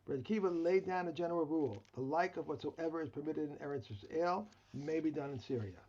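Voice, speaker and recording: Hoarse man reading aloud over a dull line. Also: on the high side, fast, warm tone. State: losing his voice. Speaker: study partner. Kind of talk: reading text aloud in a study session. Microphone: video call.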